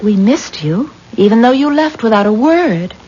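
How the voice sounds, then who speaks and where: Woman playing a wistful, gentle young woman. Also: fairly high pitch, expressive, moderate pace, natural voice. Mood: shy, polite. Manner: tender, wistful, theatrical. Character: gentle, sorrowful, devout young woman in love. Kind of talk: 1970s American radio drama acting. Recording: dull, faintly noisy 1970s radio recording.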